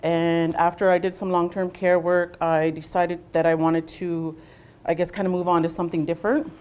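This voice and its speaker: A young adult woman from Southwestern Ontario leading a workshop, speaking loudly to a room without a microphone and often pausing on "um" and "uh". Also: fairly low pitch, little pitch movement, clear, resonant tone. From Southwestern Ontario, Canada. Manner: loud voice, unamplified, frequent ums and uhs. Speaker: workshop facilitator, nurse navigator. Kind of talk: presentation to a workshop audience.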